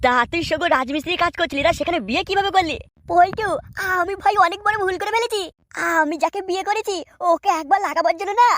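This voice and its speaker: A woman voicing whining, excitable, crude village men in a comic cartoon. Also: extremely high pitch, slight background noise. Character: squeaky, excitable comic male village fellows.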